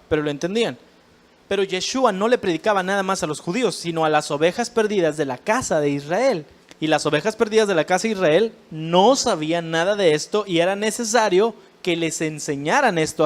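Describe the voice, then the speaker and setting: A male preacher with a Mexican accent lays out his teaching in a fairly high voice. He speaks directly to his listeners, calling them brother and pressing his points home as he explains. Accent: Mexican Spanish. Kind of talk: sermon teaching.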